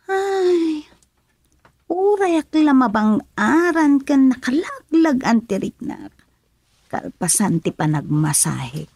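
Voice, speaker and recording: An actress playing a vain, doubting middle-aged wife in an Ilocano radio drama, with a husky, breathy voice. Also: female voice, mid-range voice, a little mellow, no echo, exaggerated voice.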